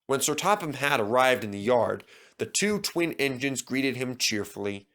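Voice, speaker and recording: A man's voice: mid-range voice, expressive, normal speed, a bit bright. A man reading a children's railway story as scripted narration.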